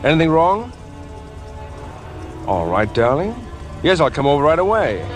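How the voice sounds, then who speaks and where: An earnest young hero caught up in a crime in a vintage radio play. His mid-pitched voice moves widely with tension and indignation, with music faintly behind. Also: very animated, a little slow. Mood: concerned. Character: alarmed, indignant young man being entangled in murder. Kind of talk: 1950s radio drama acting.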